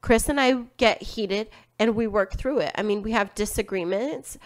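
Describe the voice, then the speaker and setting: A woman's voice: medium pitch, normal speed. A young adult woman co-hosting a podcast in casual chat, saying "like" a lot. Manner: chatty, says "like" often. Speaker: podcast co-host. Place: home studio.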